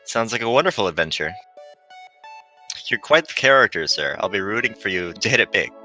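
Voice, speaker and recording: Man voicing a bright, courteous AI shuttle navigator. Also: on the low side, lively intonation, a little fast, faint music behind. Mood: polite, encouraging. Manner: courteous, formal, soothingly understated. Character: polite, chatty, unflappable male AI shuttle navigator. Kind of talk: visual novel character reading.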